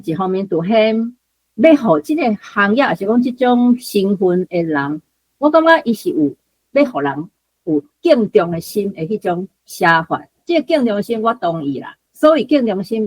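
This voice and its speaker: A woman's voice: mid-range voice, no room sound, low-quality recording. A woman with a Taiwanese accent giving unscripted explanatory commentary in a conversational way, full of particles and small asides. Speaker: guest commentator.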